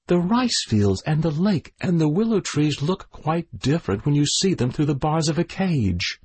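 A man's voice: big pitch swings, moderate pace, a little mellow, husky. A man voicing a small caged male songbird who longs to be free, in a storybook reading. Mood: sad. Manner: pleading, high and wistful.

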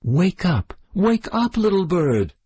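A man voicing a gentle young princess who dotes on her pet bird, in a storybook reading. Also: on the low side, big pitch swings, moderate pace, breathy edge, heavily acted. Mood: tearful. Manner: coaxing and earnest.

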